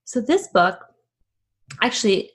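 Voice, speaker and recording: A woman's voice: fairly high pitch, very animated, takes it slowly, husky. A woman from Afghanistan hosting her own podcast, talking casually and reflectively with many fillers and restarts. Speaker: podcast host. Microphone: camera video, facing the screen.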